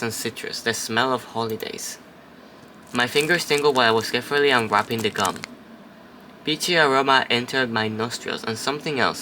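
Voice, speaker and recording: A man plays through a story game, reading each line aloud in a bright, forward voice. Between passages he drops into loose chat and the odd curse. A faint noise hums behind him. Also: mid-range voice, rough recording. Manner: reads narration, then chats and swears casually. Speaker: let's play host. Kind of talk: casual game playthrough, reading text aloud.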